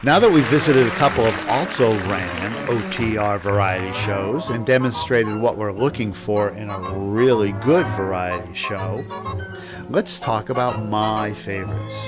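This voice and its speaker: A man with a fairly low voice presents as a podcast host. He reads scripted narration about vintage radio, and music plays clearly behind him.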